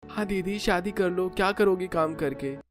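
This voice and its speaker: A man puts on a young brother's voice for a cartoon, pitched well up. The character is earnest, eager and protective, with music playing behind him. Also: normal speed, natural voice. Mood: coaxing.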